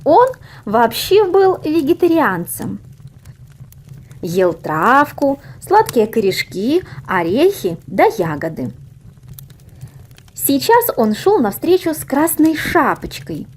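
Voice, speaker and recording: A woman reading a fairy tale aloud as expressive, scripted storybook narration. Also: high-pitched, slow pace, breathy edge. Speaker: audio fairy tale reader.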